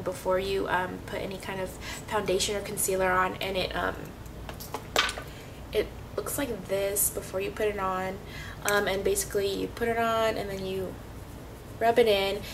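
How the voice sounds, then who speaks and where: A woman vlogger chatting casually through her makeup routine, sniffly with a cold and full of ums. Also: medium pitch. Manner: chatty, many ums and restarts. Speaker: beauty vlogger. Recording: slightly distant mic, faint hiss.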